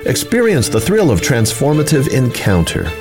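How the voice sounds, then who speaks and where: A man's voice: medium pitch, wildly swooping pitch, normal speed. A man narrating a scripted promo as a podcast host. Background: music.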